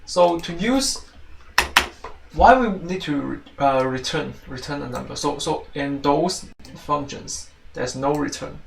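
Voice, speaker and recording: A roomy, slightly distant recording with faint noise carries a man with a Chinese accent. His mid-pitched voice has a creaky edge. He explains step by step like a teaching assistant, haltingly, circling back to repeat and reword his phrases. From China. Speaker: teaching assistant giving a programming tutorial. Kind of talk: informal spoken tutorial walkthrough.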